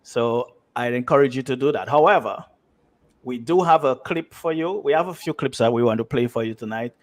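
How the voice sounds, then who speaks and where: Mid-pitched man in conversational interview. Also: a little fast. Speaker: interview guest from a radio drama.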